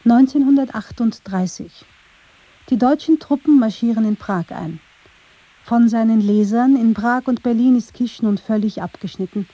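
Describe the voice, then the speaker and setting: A woman's voice: a little high, normal speed, mellow, husky, no echo, lo-fi sound. A woman reading scripted narration for a radio documentary.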